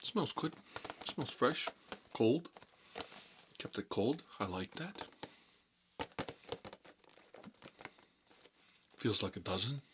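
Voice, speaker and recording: A man playing a cagey, cocky mob boss in an ASMR role-play, talking unhurried in needling tough-guy street talk. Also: medium pitch, animated, noisy background. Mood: bossy, needling.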